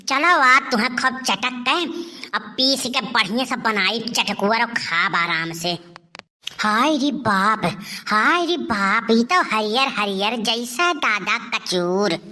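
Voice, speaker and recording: A woman's voice: a little high, bright, forceful tone, full character voice. A woman voicing a scheming, melodramatic older village aunt in a cartoon comedy, with an eastern Uttar Pradesh Awadhi accent and wailing, repetitive exclamations. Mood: greedy glee. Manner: wailing, exclamatory, repeats herself. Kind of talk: cartoon comedy monologue in rural dialect.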